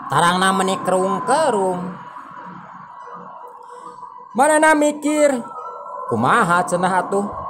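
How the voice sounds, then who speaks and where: A man's voice: sits high, very animated, takes it slowly, long pauses, music in the background. A man with a Sundanese accent narrating a folk tale as storyteller, with background noise.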